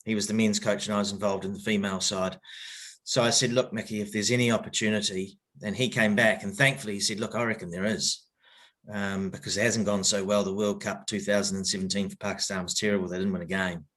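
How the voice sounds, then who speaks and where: A middle-aged man with a New Zealand accent and a fairly low voice talks through his past in an interview. He hesitates often, restarts his sentences, and speaks openly and reflectively. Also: level tone, a bit bright. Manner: halting, many fillers and restarts, open and reflective. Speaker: guest, cricket coach. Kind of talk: candid podcast interview storytelling.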